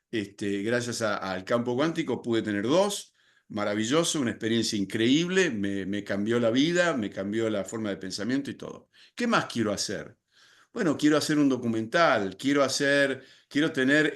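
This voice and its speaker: Older argentine guy on a podcast, talks slow and thoughtful, lots of este and eh. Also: mid-range voice, a bit bright. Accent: Argentine Spanish. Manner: reflective, explanatory, with filler words and restarts. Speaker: guest. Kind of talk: podcast interview.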